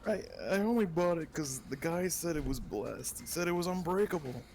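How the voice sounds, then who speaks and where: A man's voice: fairly high pitch, expressive, moderate pace. A man voicing a panicky, gullible young fortune teller in a game, rambling and exclaiming. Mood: dismayed, indignant. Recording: music behind the voice.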